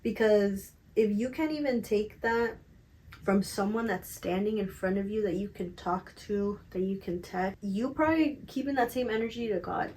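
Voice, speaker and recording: A woman in her twenties chatting casually and candidly to camera as a vlogger, emphatic and slangy, with a slightly raspy voice. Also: medium pitch, moderate pace, darkish tone, slight background noise, low-quality recording. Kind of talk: casual confessional chat to camera.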